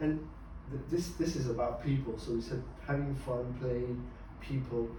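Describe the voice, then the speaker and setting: A man guiding a group discussion in an encouraging, measured way. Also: medium pitch, fairly even intonation, background noise. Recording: echoey room, distant mic.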